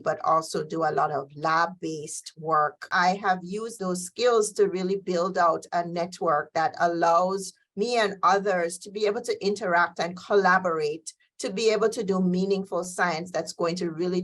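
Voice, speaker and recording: A middle-aged woman answers interview questions as a guest scientist. Her voice sits on the low side, and she pauses often with ums and uhs as she builds her points. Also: a bit bright. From Jamaica. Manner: measured, with frequent um and uh fillers.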